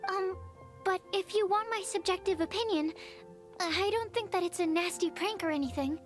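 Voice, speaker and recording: A timid young alchemist who hedges every word. Her voice is very high, and faint music plays underneath. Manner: timid, hesitant, hedging. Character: shy, bookish young female alchemist. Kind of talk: game voice acting.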